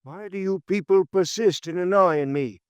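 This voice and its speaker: An actor playing a nervous, frightened older antique dealer in a 1950s American radio drama, evasive and pleading. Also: male voice, sits high, moderate pace, smooth, dry recording, clean studio sound, natural voice.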